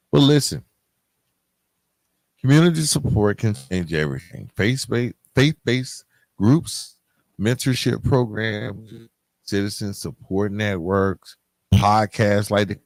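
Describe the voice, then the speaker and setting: A man hosting a podcast solo, talking informally and conversationally, thinking aloud in a halting way. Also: lowish voice, creaky, darkish tone, no echo. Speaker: podcast host.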